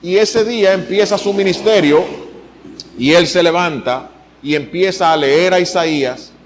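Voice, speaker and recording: Animated Dominican preacher, fairly high voice. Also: male voice, measured pace, a little hiss or hum. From Dominican Republic. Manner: animated preaching, voice swinging up for emphasis. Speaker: pastor. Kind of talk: sermon.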